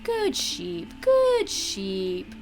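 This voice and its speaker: A woman voicing an eccentric, excitable old madman in an audiobook story, eager and erratic, repeating words in short bursts. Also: very high-pitched, very slow, creaky, faint music behind, pitched above the performer's own voice, heavily acted. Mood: excited. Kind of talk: audiobook character voice.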